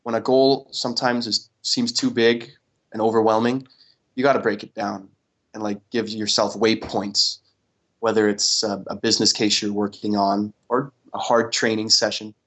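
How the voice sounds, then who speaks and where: A young man from Denmark answering interview questions in a relaxed way, with many fillers and restarts. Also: lowish voice, moderate pace. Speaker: interview guest, paddle athlete.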